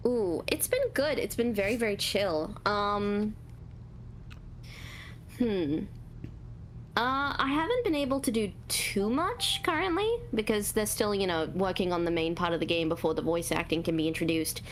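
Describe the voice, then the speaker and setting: High-voiced woman, relaxed and hesitant. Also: moderate pace, a little hiss or hum, low-quality recording. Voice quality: naturally high voice. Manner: relaxed, hesitant, many ums, laughs at herself. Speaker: voice actor and artist, interview guest. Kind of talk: casual Q&A interview.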